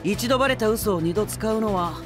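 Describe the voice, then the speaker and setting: An actor playing a calm, clever young male knight in an anime-style voice drama, cool, confident and lightly mocking. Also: high-pitched, normal speed, over music, close to an everyday voice. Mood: taunting.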